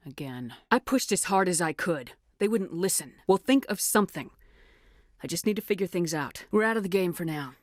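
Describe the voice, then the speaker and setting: A woman's voice: lowish voice, lively intonation, a little fast, no echo. An actress playing a tough, resolute female military officer in a video game, speaking with firm, clipped authority and dry wit. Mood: businesslike, frustrated, then intimate, searching. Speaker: starship commander in a science fiction game. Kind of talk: video game voice acting, dramatic dialogue.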